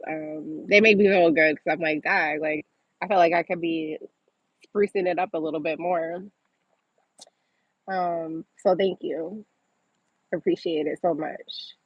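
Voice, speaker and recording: A woman's voice: deep voice, steady tone, a little slow, long pauses, slightly rough, no room sound, muffled recording. A woman from Massachusetts hosting a casual livestream, chatting informally as she reads and answers viewer comments. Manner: informal, chatty, often laughing as she talks. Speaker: livestream host.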